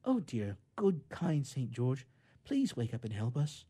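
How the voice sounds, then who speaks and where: A man's voice: medium pitch, huge pitch swings, measured pace, dark tone, husky, voice pushed up, exaggerated voice. A man voicing a timid, earnest little girl in a storybook, plaintive and eager. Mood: pleading.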